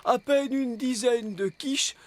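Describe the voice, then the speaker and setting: A man's voice: very high voice, takes it slowly. A man playing a good-natured, gluttonous, talkative elf in a cartoon dub, earnest and naive in a ponderous way. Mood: boastful, naive. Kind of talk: animated cartoon dub acting.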